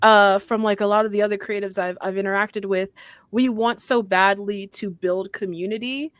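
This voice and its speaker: A young woman chatting casually as a podcast host, recorded dry with little room sound. Her voice sits at a medium pitch, and she talks in a slangy, effusive way, rephrasing often. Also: steady tone, normal speed, no echo. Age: young adult. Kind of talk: casual chat.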